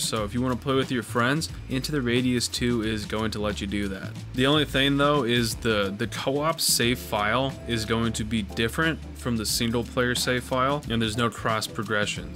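A young man with a cold, a little raspy and stuffed up, chatting excitedly and swearing casually as he talks over gameplay. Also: medium pitch, normal speed, few pauses, faint music behind. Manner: excitable, swears casually, says "dude" often. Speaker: gaming video host.

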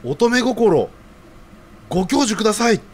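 An actor playing an earnest, flustered young office junior in love in an audio drama, eager and hesitant in casual polite speech. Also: male voice, sits high, big pitch swings, measured pace. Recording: audible background noise.

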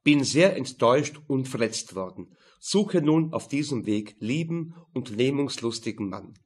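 A man's voice: mid-range voice, animated, a little slow, darkish tone, slightly rough. A man reading a crime novel as scripted narration. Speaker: audiobook narrator.

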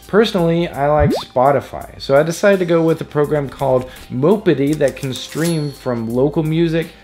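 A man's voice: medium pitch, a little mellow. A man narrating a scripted electronics tutorial in a playful, jokey manner. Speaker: host of an electronics DIY tutorial. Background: background music.

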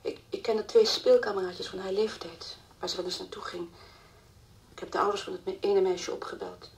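An actress playing the anxious, distraught mother of a missing girl in a Dutch radio play, halting and repeating her words. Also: medium pitch, steady tone, darkish tone, close to an everyday voice. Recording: old radio play recording, faint hiss.

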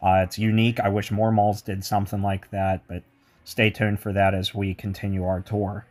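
A man's voice: on the low side, little pitch movement, normal speed. A man giving an enthusiastic walking tour of a mall, mixing its history with chatty asides. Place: indoor shopping mall.

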